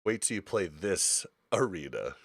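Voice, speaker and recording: A man's voice: low-pitched, big pitch swings, a little slow, creaky, no room sound, clean studio sound. A man hosting a casual gaming podcast, explaining things in an informal, rambling way. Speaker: podcast host.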